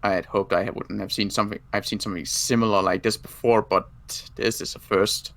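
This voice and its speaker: Bright, forceful, blunt zombie survivor played by a man. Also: fairly low pitch, fairly even intonation, a little fast, gravelly. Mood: grim, blunt. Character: wounded male rifle-carrying zombie survivor, blunt and wry. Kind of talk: improvised Minecraft roleplay.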